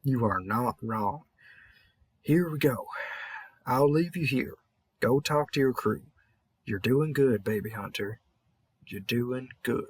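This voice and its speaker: A man's voice: medium pitch, animated, slow, pauses often, breathy edge. A man playing a tired veteran vampire hunter from the American South, mumbling and rambling folksy encouragement in an intimate audio roleplay. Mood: tired, advising.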